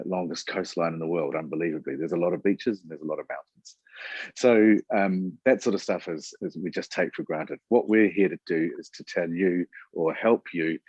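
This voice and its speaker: Low husky man hosting a webinar. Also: dry recording, muffled recording. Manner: relaxed, many fillers and restarts. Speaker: webinar host. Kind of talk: webinar presentation. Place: Auckland.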